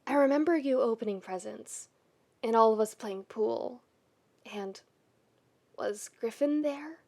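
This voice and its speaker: A woman voicing a flustered, defensive young man in a podfic, speaking in a hushed and hesitant way. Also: a little high, measured pace, vocal fry.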